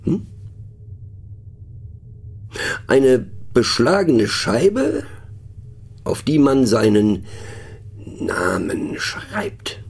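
Musing mocking train passenger, radio play, slow hesitant delivery, creaky, swooping intonation. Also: male voice, mid-range voice, wildly swooping pitch, full character voice. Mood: musing, wry. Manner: ponderous, hesitant, drifting into hushed asides.

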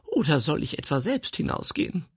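A man voicing a bossy, vain housekeeper woman with a shrill, scolding manner. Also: very animated, a little fast, gravelly, breathy edge, exaggerated voice.

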